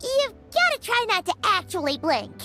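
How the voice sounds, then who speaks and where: A woman voicing a small, excitable, childlike fairy companion in a video game, bubbly and talking about herself in the third person. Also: extremely high pitch, normal speed, faint music behind, full character voice. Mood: excited.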